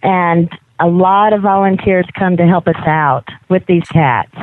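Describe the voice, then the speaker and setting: A woman's voice: lowish voice, measured pace, a little hiss or hum. A woman from Mississippi with a Southern US accent, chatting casually and effusively as an interview guest over a tinny phone line. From Mississippi, United States. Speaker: guest, animal sanctuary team leader. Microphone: telephone.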